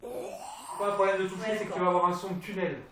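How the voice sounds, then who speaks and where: A man's voice: sits high, steady tone, rapid-fire. A man chatting casually about technical matters, like a stage technician at work in a hall. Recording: roomy echo, very noisy background chatter.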